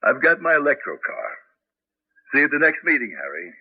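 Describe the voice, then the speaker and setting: An actor in a 1980s radio drama playing a sympathetic, earnest scientist and teacher with a gravelly voice. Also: male voice, mid-range voice, big pitch swings, a little fast. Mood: concerned. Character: sympathetic male scientist friend, tenured teacher. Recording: tinny band-limited old radio broadcast.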